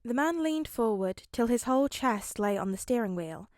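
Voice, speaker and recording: A woman's voice: on the high side, animated, normal speed, darkish tone, no echo, studio quality. A woman narrating a scripted story as an audio fiction reader.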